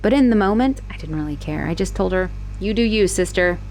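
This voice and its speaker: A woman playing an obsessive, manipulative young woman who escaped an asylum, in a teasing, expressive roleplay monologue spoken straight to the listener. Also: medium pitch, fairly quick, a little hiss or hum, natural voice, poor audio. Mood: wry, confiding. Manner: teasing, possessive, expressive, swinging between sweet and exasperated. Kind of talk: dramatic roleplay audio monologue addressed to the listener.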